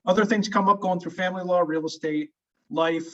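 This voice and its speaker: Higher-voiced man, off-mic and muffled. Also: steady tone, fairly quick, far from the mic, a little hiss or hum. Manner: rambling, stop-start, friendly. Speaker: family law attorney host. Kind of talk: casual interview conversation.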